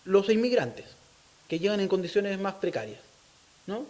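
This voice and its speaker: A man's voice: high-pitched, big pitch swings, moderate pace, a little mellow. A man with a Chilean accent answering as an interviewee, explaining his opinions thoughtfully and often checking in with short tag questions. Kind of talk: thoughtful interview talk on local culture.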